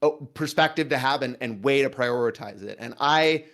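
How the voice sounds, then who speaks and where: A man in casual conversation, talking earnestly and haltingly, often repeating himself as he goes. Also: mid-range voice, fairly bright tone. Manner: earnest, halting, hedging with repetitions. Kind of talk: casual chat.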